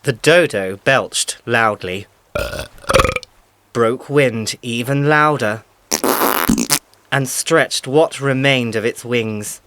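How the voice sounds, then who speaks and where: A man narrating a scripted animal fable in a measured, emphatic storytelling manner. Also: medium pitch, pushed and bright, no room sound.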